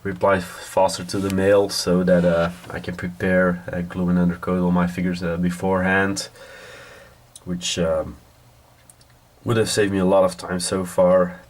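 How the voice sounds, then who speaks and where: A man from Belgium with a nasal voice from a cold, giving a casual, rambling hobby update in a halting, hesitant way. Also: sits low, flat delivery. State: has a cold. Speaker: hobby video host.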